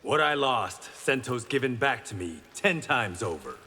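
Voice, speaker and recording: A man's voice: medium pitch, sing-song, measured pace, long reverb tail, heavy background noise. An actor playing a stoic blind swordsman hero in a video game, with dry, confident wit. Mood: defiant.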